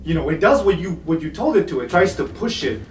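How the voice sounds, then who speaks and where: A man narrates an improvised tabletop game as its game master. His voice is on the high side, set back from the mic in an echoey room with music playing. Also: very animated, fast talker, far from the mic.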